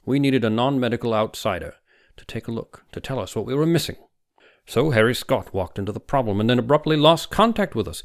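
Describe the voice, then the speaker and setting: Guy doing a big bossy older doctor in an audiobook, booming, insistent, really forceful. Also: lively intonation, a little fast, lightly acted. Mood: intense, insistent. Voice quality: heavy, booming. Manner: emphatic, insistent. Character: huge domineering older male doctor, ruthless and forceful. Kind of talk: audiobook character dialogue.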